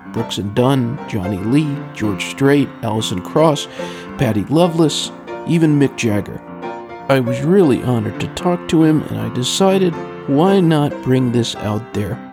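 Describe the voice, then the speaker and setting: A man hosting a radio interview show, speaking in a polite, announcer-like way with scripted introductions. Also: mid-range voice.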